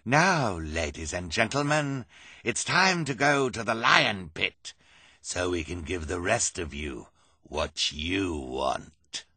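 A gloating storybook villain, acted by a man, announcing like a showman. He draws words out slowly in a forceful, bright voice with dramatic swings. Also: low-pitched, very animated, breathy edge, dry recording, exaggerated voice. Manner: slow, drawn-out announcing.

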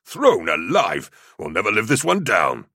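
A man's voice: lowish voice, wildly swooping pitch, unhurried, sharp tone, husky, no room sound, clean studio sound, pitched above the performer's own voice, full character voice. A man voicing a rowdy, indignant warrior of a squad, blustering and exclaiming, in an audiobook story.

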